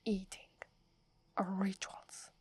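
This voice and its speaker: A woman's voice: mid-range voice, monotone, slow pace, a little mellow, breathy edge, no echo. A woman with an Italian accent voicing a proud, wary female werewolf who guards a holy forest, speaking in an accusing whisper for an ASMR roleplay. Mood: scornful. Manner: scornful, accusing.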